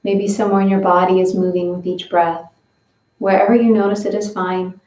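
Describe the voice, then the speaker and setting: A woman leading a guided breathing and stretching session, giving slow, gentle step-by-step instructions. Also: mid-range voice, level tone, far from the mic. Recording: roomy, from away from the mic.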